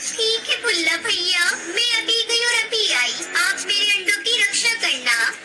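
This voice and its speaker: A woman's voice: very high-pitched, lively intonation, a little slow, over loud music. A woman voicing a naive, fretful little mother bird in a children's cartoon. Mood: trusting. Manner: plaintive, fretful. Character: anxious, trusting mother bird, small and naive. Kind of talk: Hindi cartoon moral story acting.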